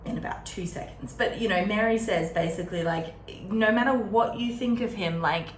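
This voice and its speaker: A woman's voice: medium pitch, moderate pace. A woman chatting casually and wryly as she hosts a book discussion, often drifting into asides. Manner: chatty, wry, digressive with asides. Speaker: host of a book readalong series. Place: indoor room at a desk. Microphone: camera set on or near desk. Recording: roomy echo, background room noise.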